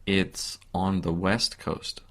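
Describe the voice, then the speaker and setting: An American man in his forties giving simple, deliberate answers in a beginner English listening lesson. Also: sits low, level tone. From San Francisco, United States. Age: 40s. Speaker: English teacher. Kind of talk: slow, simple scripted-style interview answers for beginner learners.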